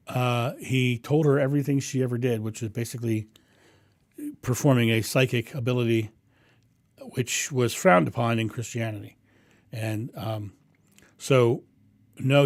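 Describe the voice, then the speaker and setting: A man with a booming voice hosting a live stream, answering viewers' questions in a rambling, animated way and acting out thoughts. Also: no echo, clean studio sound. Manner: animated, acts out inner thoughts with raised voice; rambling with frequent ums and restarts. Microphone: condenser mic through a mixing board.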